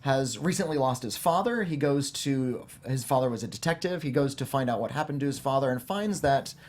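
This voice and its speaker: A man's voice: medium pitch, expressive, a little fast. Wordy, wry man hosting a film panel. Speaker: host of a film review panel show. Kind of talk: conversational film discussion.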